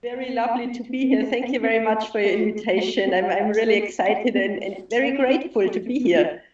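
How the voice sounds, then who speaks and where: A woman's voice: fairly high pitch, fairly quick, darkish tone, lo-fi sound. German-accented woman on a distant, echoey line. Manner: polite, careful English. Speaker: guest, energy healer.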